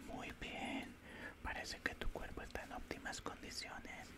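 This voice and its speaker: A cold alien scientist, played by a man, whispers very slowly into a close microphone. The delivery is breathy and clinical, with a condescending, faintly menacing calm suited to an ASMR roleplay. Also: natural voice. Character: cold, condescending male alien scientist examining a human specimen. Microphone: close-up studio microphone.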